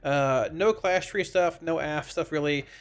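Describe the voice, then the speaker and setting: A man with a congested, slightly raspy voice from a cold, giving casual, rambling, explanatory commentary on a video game to his viewers. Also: a little high, a little slow. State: congested, under the weather with a cold. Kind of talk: informal gaming feedback commentary to viewers. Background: background music.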